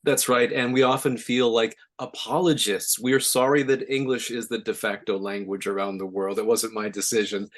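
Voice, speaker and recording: Relaxed Canadian man, low voice. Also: moderate pace, few pauses. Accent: Canadian, with some Eastern American. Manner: relaxed, self-deprecating, with fillers. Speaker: webinar trainer. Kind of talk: casual talk on a video call. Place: home or office, on a video call.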